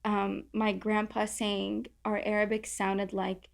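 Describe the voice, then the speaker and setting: A woman's voice: medium pitch, little pitch movement, takes it slowly, gravelly. A young adult woman from Southern California, chatting casually and with self-deprecating humor as a guest in a roundtable talk. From Orange County, California. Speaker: content creator, podcast guest.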